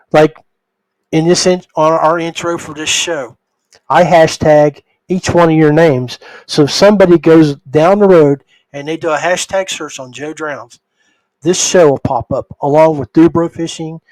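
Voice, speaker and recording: A man with a slightly high voice hosts a podcast in a casual, advising way. The sound is dry, with very little room around it. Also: darkish tone, no room sound, low-quality recording. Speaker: podcast host. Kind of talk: casual talk, giving advice.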